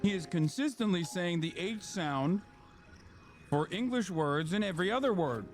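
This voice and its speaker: A man's voice: high voice, expressive, normal speed. A man, a streamer giving blunt, profane, mocking reaction commentary. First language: Turkish.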